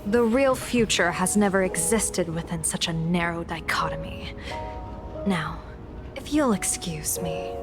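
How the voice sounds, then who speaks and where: Cool soft-spoken sage. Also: female voice, on the low side, animated, a little slow. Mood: detached. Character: calm composed young woman, aloof and philosophical. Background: game music.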